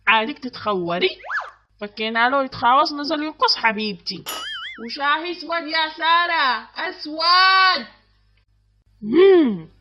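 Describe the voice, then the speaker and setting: A woman playing an exasperated, sharp-tongued Yemeni hostess in a comic cartoon, exclaiming and giving orders in an Adeni accent. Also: high-pitched, animated, measured pace, pauses often, over music. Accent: Adeni Yemeni Arabic. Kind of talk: comic cartoon skit dialogue.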